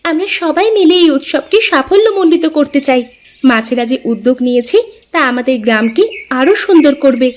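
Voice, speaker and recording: A woman's voice: high-pitched, lively intonation, moderate pace. A woman voicing a friendly, earnest talking fish shopkeeper in a cartoon, speaking in formal little speeches. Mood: encouraging. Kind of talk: scripted cartoon dialogue.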